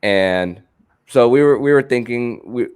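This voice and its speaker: A man's voice: medium pitch, a little slow, darkish tone, clear, resonant tone. A man hosting a sports podcast, speaking to an audience and reading sponsor spots. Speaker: podcast host.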